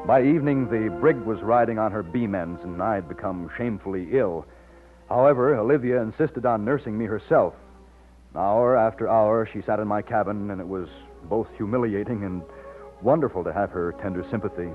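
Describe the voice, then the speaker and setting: A man's voice: fairly low pitch, brisk, faint music behind, lightly acted. An actor playing a wistful, self-doubting man in an old radio romance, earnest and sometimes hesitant. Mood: reflective. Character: wistful, self-doubting one-armed man narrating his own romance. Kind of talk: 1940s radio acting, narration and dialogue. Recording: muffled old-time radio recording.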